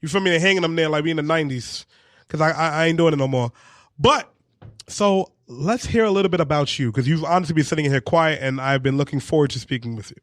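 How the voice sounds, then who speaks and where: A man co-hosting a casual radio interview, talking in animated slang and often repeating himself. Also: a little high, quick pace, dry recording. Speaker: radio show co-host.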